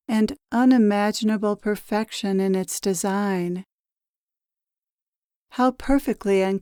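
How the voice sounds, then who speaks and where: A woman speaks as a meditation guide, very slowly and gently, with a soft-edged, mellow tone. Her voice is smooth and clear, and her delivery is measured, like scripted spiritual narration. The recording is close and dry. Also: mid-range voice, dry recording.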